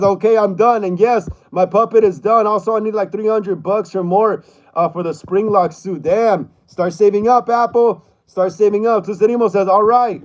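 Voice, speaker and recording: A man in his thirties with a notably high voice hosts a relaxed livestream chat. He reads viewer comments aloud, stumbles and repeats words, and keeps calling people bro. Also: low-quality recording. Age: 30s. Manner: laid-back, stammers and repeats himself, says bro a lot. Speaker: livestream host.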